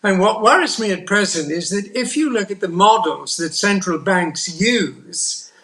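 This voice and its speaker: An older man from the United Kingdom talks slowly on a panel with a high, bright voice. He is measured and deliberate and pauses to find his words. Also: expressive. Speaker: panel guest, economist. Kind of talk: panel discussion.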